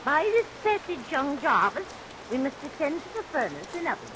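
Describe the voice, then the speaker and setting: Sinister high-voiced haughty woman employer. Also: normal speed. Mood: coolly menacing. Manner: formal, imperious, ominously polite. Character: sinister haughty pie-shop woman, coolly menacing employer. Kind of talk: vintage radio melodrama acting. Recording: vintage radio recording, steady rain noise.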